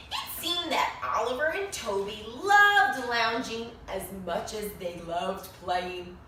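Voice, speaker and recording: A woman reading a picture book to young children in an expressive, sing-song way, pausing to explain things and ask questions. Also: sits high. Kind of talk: animated read-aloud story for young children. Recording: very echoey room, distant mic, faint noise.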